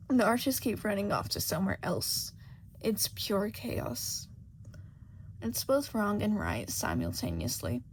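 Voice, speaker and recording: A woman's voice: on the high side, rough recording. A woman reading a scripted story aloud as an amateur audiobook narrator. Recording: faint background noise.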